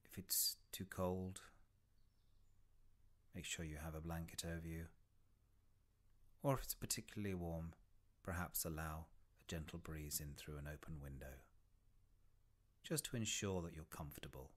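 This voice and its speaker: A man leading a guided body scan meditation in a gentle, unhurried way, with long pauses between short phrases and soft, breathy phrase endings. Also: rumbling low.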